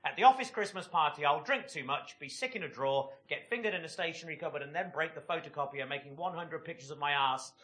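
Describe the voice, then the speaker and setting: A man performing a stand-up comedy routine in a comedy club, with dry, deadpan jokes. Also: fairly high pitch, fast talker, few pauses.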